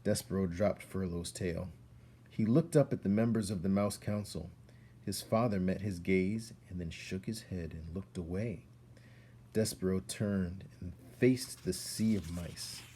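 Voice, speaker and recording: A man reading a storybook aloud as narrator, with expressive delivery. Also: sits low, unhurried, a little hiss or hum.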